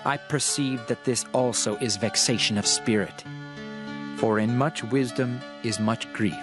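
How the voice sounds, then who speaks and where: A man's voice: medium pitch, steady tone, natural voice. Man playing a solemn, world-weary king over music. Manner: solemn, declamatory, measured. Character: the Preacher, world-weary reflective old king of Jerusalem. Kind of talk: dramatized scripture reading.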